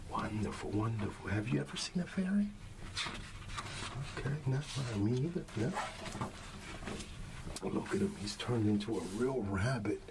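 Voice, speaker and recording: A man with a lowish, gravelly voice plays a gentle father at bedtime in ASMR style. He speaks very slowly and softly, often slipping toward a whisper. Noticeable ambient noise sits behind his voice. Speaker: ASMR roleplayer playing a father reading a bedtime story. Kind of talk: soft ASMR bedtime storybook reading, talking to a child.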